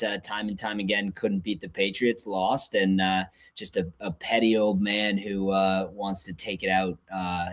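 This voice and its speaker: Podcast guest, young male, lowish pitch, casual fan analysis of sports.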